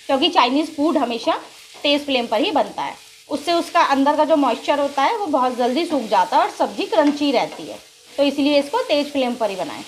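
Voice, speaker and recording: A woman's voice: high-pitched, slight background noise, rough recording. A woman hosting a cooking channel, patiently explaining each step of a recipe to viewers in her home kitchen. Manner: patient, instructive, addresses viewers as friends. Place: home kitchen with gas stove.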